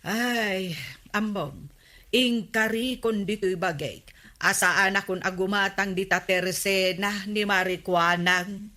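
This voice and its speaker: An actress playing a fretful, gossipy village wife with a Filipino accent, complaining emphatically in a radio comedy drama. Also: female voice, mid-range voice, steady tone, takes it slowly, bright, forceful tone.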